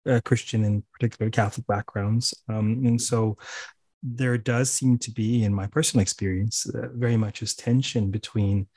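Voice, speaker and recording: A man's voice: on the low side, steady tone, moderate pace, a little mellow, husky, dry recording. A man hosting a podcast interview over a video call, chatty, laughing often and restarting his sentences. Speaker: podcast host. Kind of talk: casual interview.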